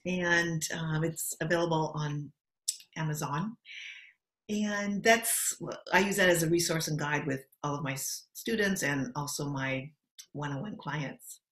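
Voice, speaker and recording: A middle-aged American woman from Los Angeles, answering interview questions in an articulate, measured way. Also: low voice, a bit bright. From Los Angeles, USA. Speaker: guest. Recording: roomy echo.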